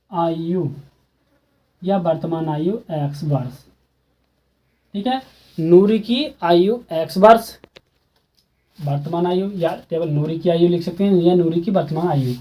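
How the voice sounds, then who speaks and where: A man's voice: fairly high pitch, takes it slowly, long pauses, mellow. A man teaching a school maths exercise, speaking casually and repeating steps while asking students questions. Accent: Hindi. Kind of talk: explaining a textbook math exercise.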